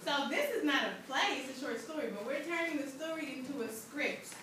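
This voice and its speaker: High-pitched woman teaching in an echoey room. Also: lively intonation, fairly quick, fairly bright tone. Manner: checks understanding with short questions. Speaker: English teacher. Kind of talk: interactive classroom lesson. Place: indoor school classroom. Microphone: distant room microphone. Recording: echoey, noisy classroom, far from microphone.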